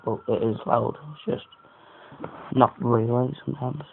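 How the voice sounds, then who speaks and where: A man with a croaky voice giving a casual, rambling, off-the-cuff product review as an amateur. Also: steady tone, measured pace. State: croaky voice today. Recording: muffled phone-like sound, faint rumbling noise.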